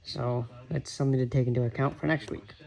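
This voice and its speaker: A man's voice: mid-range voice, steady tone, fast, creaky, a little mellow. A man talking casually as the host of his own weather radio hobby recording. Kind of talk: casual commentary. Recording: very noisy home recording, clicks, radio static. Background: weather radios playing, static and clicks.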